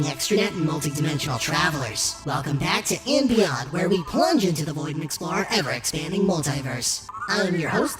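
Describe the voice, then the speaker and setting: Low-voiced robot tour host, played by a woman. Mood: welcoming, formal. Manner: formal, polished, informative. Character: polite robot host guiding tours of alien worlds. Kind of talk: scripted documentary-style narration. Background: prominent music.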